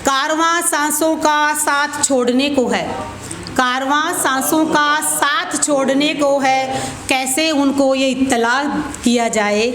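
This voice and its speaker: A woman performing as a poet at an open mic, reciting verse with deliberate repeated lines and informal introductions. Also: high-pitched, fairly bright tone. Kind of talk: spoken Hindi-Urdu poetry recital with informal introductions. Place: open mic event room. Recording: echoey room with clear background noise.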